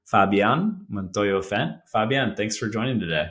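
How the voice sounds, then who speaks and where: A man's voice: on the low side, measured pace, a bit bright. A man hosting a casual business interview, chatty and friendly, asking leading questions. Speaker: interview host, founding partner.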